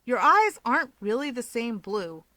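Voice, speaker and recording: A woman's voice: on the high side, very animated, moderate pace, sharp tone, no echo, voice pushed up. A woman voicing an earnest, teasing young man who keeps pushing in a casual way. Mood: puzzled, insistent.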